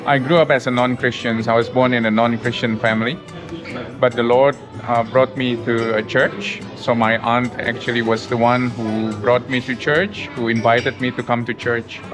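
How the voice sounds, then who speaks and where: A middle-aged man from Indonesia speaks English with an Indonesian accent in a mid-range voice. He talks steadily and often pauses on "uh" to find his words. Clear background music plays underneath. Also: mid-range voice. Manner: steady, with frequent "uh" fillers. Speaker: gospel worker. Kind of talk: interview testimony.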